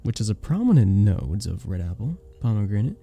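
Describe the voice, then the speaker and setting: Very mellow, flirtatious vampire bartender played by a man. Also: fairly low pitch, sing-song, full character voice. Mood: suave, playful. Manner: smooth, teasing, flirtatious, unhurried pauses. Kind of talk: scripted ASMR roleplay, addressing the listener.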